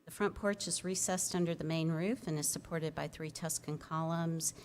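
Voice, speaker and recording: A woman staff planner reading a formal report aloud at a public hearing in a measured, procedural way. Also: low voice, steady tone. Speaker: staff planner presenting a case. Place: public commission meeting room. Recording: noisy public meeting room microphone.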